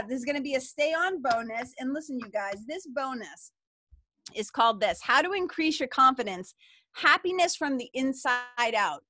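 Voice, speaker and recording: A chatty, enthusiastic middle-aged woman with a thick Boston accent greets her webinar audience. Her voice sits high and bright. The sound is dry and close, as if from a computer mic on a video call. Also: no room sound. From Massachusetts. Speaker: webinar co-host. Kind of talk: live webinar, greeting viewers in the chat.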